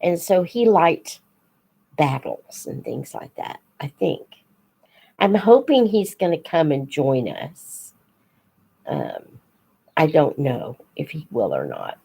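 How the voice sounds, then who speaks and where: A woman hosting a casual live chat, unhurried and thinking aloud as she talks to her viewers. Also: low-pitched, sing-song, vocal fry, warm tone, husky. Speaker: host of a live book Q&A, teacher-like reader.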